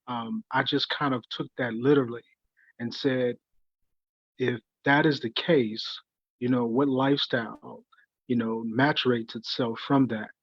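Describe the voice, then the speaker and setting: A man being interviewed, telling his life story earnestly in a halting way full of fillers and restarts. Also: mid-range voice, no room sound, highs cut off. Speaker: guest. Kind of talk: reflective conversational storytelling.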